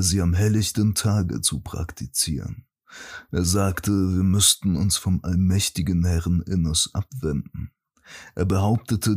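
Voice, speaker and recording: Pompous fantasy high priest played by a man, very low, raspy, grave and unhurried. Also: darkish tone, full character voice. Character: solemn, righteous archmage priest reading his letter aloud. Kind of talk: fantasy audiobook, scripted dramatic monologue.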